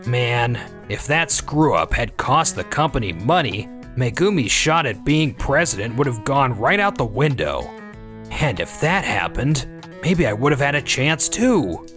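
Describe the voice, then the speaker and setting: A man's voice: medium pitch, big pitch swings, a little slow, a bit bright. A man playing a scheming, defensive office employee in a manga dub, self-serving and full of excuses. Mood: scheming, resentful. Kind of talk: anime-style manga dub dialogue and inner monologue. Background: background music.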